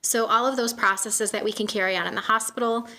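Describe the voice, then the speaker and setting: A soft-spoken woman in her thirties giving a presentation to a community meeting in a room, with frequent "um" fillers. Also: a little high, steady tone, fast. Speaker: guest speaker from a donation nonprofit.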